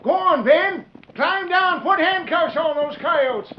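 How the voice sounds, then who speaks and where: Shrill excitable stagecoach driver. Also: male voice, takes it slowly, heavily acted. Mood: urgent. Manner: folksy, exclamatory. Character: excitable, crusty stagecoach driver of the Old West. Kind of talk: 1940s radio western acting. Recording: muffled, noisy 1940s radio recording.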